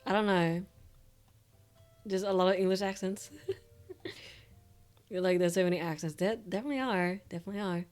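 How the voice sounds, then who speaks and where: A woman's voice: medium pitch, moderate pace, pauses often, a little mellow, soft background music. A young woman with an Australian accent, chatting loosely with viewers on a stream, full of fillers and the odd swear word. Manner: loose and rambling, with casual swearing and many fillers. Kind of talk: casual stream chat.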